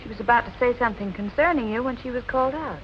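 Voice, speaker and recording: An actress playing a stubborn, determined young amateur sleuth, brisk and self-possessed, in 1940s film noir style. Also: female voice, fairly high pitch, a bit bright, lightly acted. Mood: intent, probing. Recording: noisy old film soundtrack.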